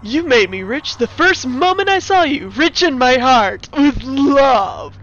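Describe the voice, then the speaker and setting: A woman's voice: sits high, lively intonation, slow, a bit bright, dull audio, heavily acted. A woman playing a lovestruck, melodramatic male action-movie hero in a comic screenplay reading. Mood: romantic, declaring. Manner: melodramatic, declarative.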